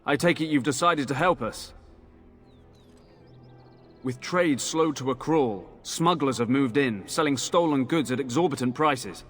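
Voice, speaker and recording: An actor playing a sober town guard officer in a video game, businesslike and matter-of-fact. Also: male voice, mid-range voice, a little slow, faint music behind, natural voice.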